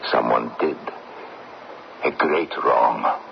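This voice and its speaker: A man's voice: extremely low pitch, slow pace, vocal fry, heavily acted. An actor playing a solemn, formal, guilt-ridden middle-aged gravedigger and father in an old radio drama. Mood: guilty, grave. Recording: dull, noisy old radio broadcast.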